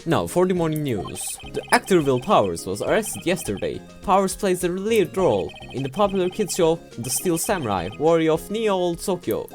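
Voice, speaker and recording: A woman's voice: sits low, normal speed, hardly any pauses, faint music behind. A woman reading as a formal news anchor. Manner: even, formal. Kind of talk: newsreading in a fan voice-over.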